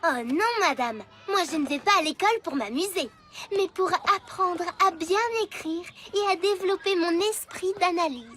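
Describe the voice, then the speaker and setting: A woman's voice: extremely high pitch, normal speed, a bit bright. A woman voicing a prim, scornful schoolgirl who narrates a cartoon with sly, sneering remarks.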